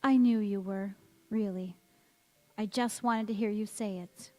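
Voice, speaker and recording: Actress doing odd dreamy young woman in a radio play, talks real slow, big echoey hall. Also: medium pitch, steady tone, a little mellow, lightly acted. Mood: wistful. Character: strange, wistful, self-possessed young woman. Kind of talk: stage radio drama acting.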